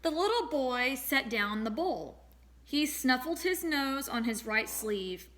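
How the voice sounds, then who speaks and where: A woman reading a storybook aloud as a teacher, expressive and pausing to address young listeners. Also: high-pitched. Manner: expressive, acts out sound words.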